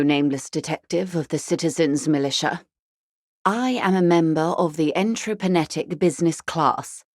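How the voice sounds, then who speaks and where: A woman playing a worldly, middle-aged upper-class corporate negotiator in a video game, speaking in a measured, polite, articulate and formal way. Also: deep voice, dry recording, clean studio sound. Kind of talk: video game character dialogue.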